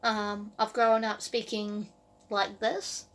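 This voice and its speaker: A woman's voice: medium pitch, level tone, slow pace, a bit bright. A woman in her early twenties with a New Zealand accent, talking casually to camera as a vlogger in a rambling way full of "like" and "um". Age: early 20s.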